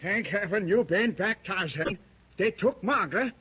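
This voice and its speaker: A rough-spoken, loyal sidekick, played by a man who mangles his grammar in a folksy way, his voice high and husky. He sounds like part of a dull lo-fi 1930s radio serial. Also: lively intonation, unhurried, pushed and bright, heavily acted. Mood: worried. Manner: ungrammatical, folksy speech. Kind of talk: 1930s radio drama acting.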